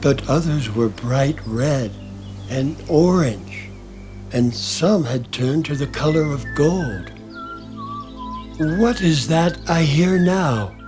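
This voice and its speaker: A man narrates a bedtime story at an extremely slow, unhurried pace. His voice is soft and soothing, with a faint bed of music behind it. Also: on the high side, lively intonation.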